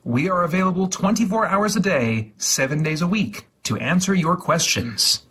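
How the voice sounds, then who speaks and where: A man reading an instruction leaflet aloud in a deliberate, deadpan way, drawing out and repeating some words. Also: sits high, husky. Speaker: host of a gaming livestream channel.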